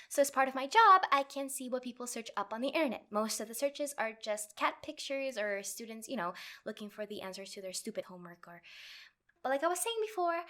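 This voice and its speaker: A woman playing a nervous, lovestruck and clingy yandere girlfriend in a comedic roleplay, halting and rambling as she talks. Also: on the high side, a bit bright.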